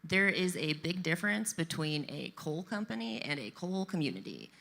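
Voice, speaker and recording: Woman candidate, deep voice, sharp bright tone, conversational, hall reverb. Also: animated, gravelly. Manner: brisk, conversational, with fillers. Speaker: political candidate. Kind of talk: debate answer. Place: live debate in a large event venue. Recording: long reverb in a large venue.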